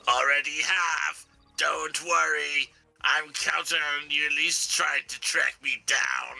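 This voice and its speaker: Casting: male actor as smug, taunting game villain; very gravelly, unhurried, toying with the pursuers. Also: fairly high pitch, steady tone, bright, forceful tone, soft background music, exaggerated voice. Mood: smug teasing. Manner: teasing, drawling, mocking. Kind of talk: live video game voice-over.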